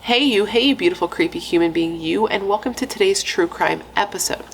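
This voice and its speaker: A woman's voice: medium pitch, brisk, vocal fry, slight background noise, rough recording. A young adult woman, a pregnant true crime YouTuber, chatting playfully with dramatic asides and casual swearing.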